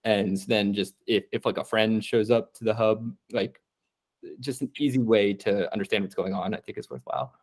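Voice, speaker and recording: A young man hosting a casual community call over a video call, relaxed and filler-heavy. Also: fairly low pitch, moderate pace. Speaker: meeting host. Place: room at home.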